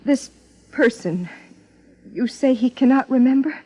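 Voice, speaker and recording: An actress playing a guarded, fragile young wife in 1950s radio drama style, breathy and defensive. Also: female voice, high-pitched, a little slow, mellow. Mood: troubled, guarded. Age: young adult. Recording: dull, band-limited 1950s radio recording.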